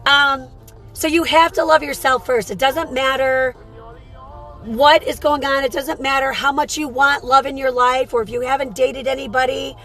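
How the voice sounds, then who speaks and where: A woman with a hoarse voice hosting a casual live morning chat. She reads cards for people, talks chattily and calls her viewers sweetheart. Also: high-pitched, fairly even intonation, normal speed, a bit bright, soft background music. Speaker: host and card reader.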